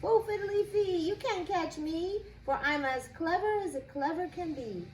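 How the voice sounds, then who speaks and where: A woman's voice: very high-pitched, animated, unhurried, darkish tone, soft background music, voice pushed up. A woman voicing a crafty, mischievous little male leprechaun from a storybook, with sing-song, giggly taunting. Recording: echoey room with background noise.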